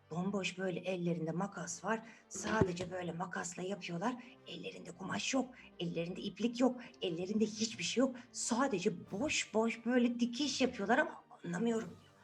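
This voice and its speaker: A woman telling a fairy tale to children in an animated, conversational way, often addressing them directly. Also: medium pitch. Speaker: children's storyteller.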